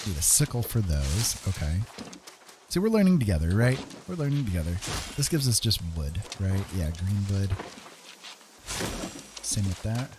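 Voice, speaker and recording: A man's voice: fairly low pitch, very animated, moderate pace, pauses often, background noise. A man giving casual gameplay commentary, thinking aloud and often tacking little questions onto his sentences. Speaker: game streamer.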